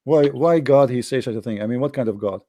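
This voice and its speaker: A man's voice: mid-range voice, quick pace, mellow, slight background noise. Insistent man, Arabic his first language. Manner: insistent, repeats phrases, rhetorical questions. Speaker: host debating a caller. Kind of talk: argumentative call-in debate.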